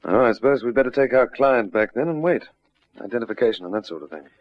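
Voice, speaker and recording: An actor playing a calm, firm detective sergeant, measured, dryly courteous and politely insistent, in an old radio drama. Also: male voice, mid-range voice, close to an everyday voice. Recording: dull, noisy old radio drama recording.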